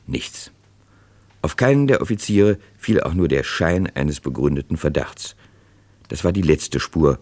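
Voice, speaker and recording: A man narrating a true crime radio play as a sober storyteller, scripted and measured, building suspense. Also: extremely low pitch, darkish tone, full character voice.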